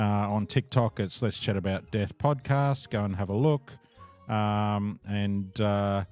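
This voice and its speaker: A man's voice: on the low side, darkish tone. A man hosting a casual podcast interview, rambling with lots of fillers and frequent laughs, talking over a call. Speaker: podcast host.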